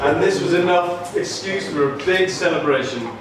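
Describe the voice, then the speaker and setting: High-voiced man narrating, very echoey. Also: moderate pace, background noise. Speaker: storyteller, narrator. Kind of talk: scripted storytelling for a puppet show. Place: live puppet theatre show, far from mic. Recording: echoey hall, distant mic, loud music.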